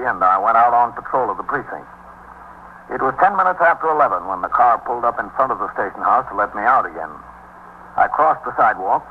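A male actor as a calm police captain in an old radio drama. He speaks in a measured, official, matter-of-fact way at a medium pitch. The sound is narrow and muffled, with light hiss. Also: natural voice. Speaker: lead actor and narrator. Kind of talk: 1950s radio police drama acting and narration. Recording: muffled, noisy 1950s radio recording.

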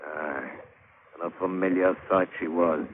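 A man's voice: deep voice, fairly even intonation, a little slow. An actor playing a plain-spoken British sailor-diver who tells his grim adventure colloquially, in old radio drama style. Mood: hushed storytelling. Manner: colloquial old-salt storyteller with rustic grammar. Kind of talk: 1940s-50s radio drama acting and narration. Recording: muffled, narrow-band, hissy old radio broadcast.

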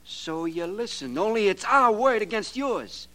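A young shop clerk turned killer in an old radio crime drama. The voice is high for a man and sharp, with a folksy, defensive, defiant edge. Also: sing-song, a little slow, heavily acted.

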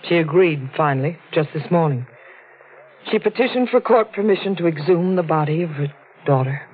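A woman's voice: low-pitched, lively intonation, normal speed, husky. A woman playing an earnest, devoted young sister in a 1940s radio drama. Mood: gentle, concerned. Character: earnest, pleading young sister of a condemned man. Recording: tinny old radio recording.

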